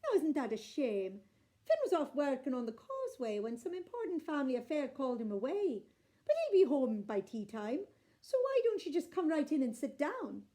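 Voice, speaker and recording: A woman's voice: sits high, big pitch swings, moderate pace, dark tone. A woman voicing a crafty, sweet-talking wife who coaxes with a sugary tone. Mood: coaxing. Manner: sugary, coaxing.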